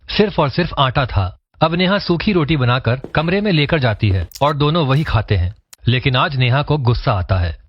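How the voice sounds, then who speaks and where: A man narrating a cartoon story in a steady, scripted storytelling manner. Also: on the high side, a little hiss or hum. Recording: dull lo-fi voice-over.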